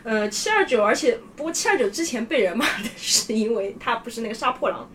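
A woman talks very quickly in a fairly high voice, as if walking friends through a long story. It is casual podcast conversation, chatty and explanatory, with faint noise behind her. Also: lively intonation, fairly bright tone, distant mic.

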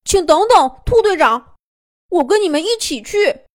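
A woman's voice: very high voice, lively intonation, unhurried, pitched above the performer's own voice. Woman voicing a frantic little bear cub. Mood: urgent pleading. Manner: urgent, pleading. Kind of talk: children's storybook reading.

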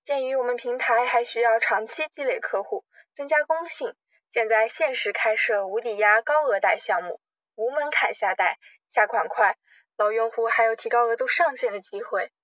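Radio drama role: slick company manager with formal persuasive patter, played by a woman, higher pitch, muffled lo-fi sound. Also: normal speed, fairly bright tone, no echo, lightly acted. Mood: polite, salesy. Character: smooth-talking, scheming loan company general manager. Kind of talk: amateur radio drama acting.

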